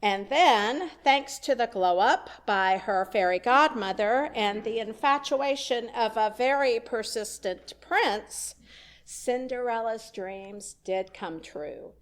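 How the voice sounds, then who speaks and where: A woman's voice: on the high side, takes it slowly. A woman minister giving a sermon in a church, telling a story in a conversational way with wry asides. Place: church sanctuary.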